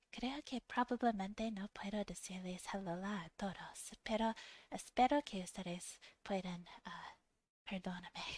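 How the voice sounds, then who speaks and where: A woman streaming ASMR, whispering softly and playfully to her viewers with frequent giggles, close to a sensitive microphone. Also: mid-range voice, no echo. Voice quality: soft whispery voice. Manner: giggly, playful, speaks very quietly. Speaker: VTuber streamer. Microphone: very sensitive ASMR microphone, left and right sides.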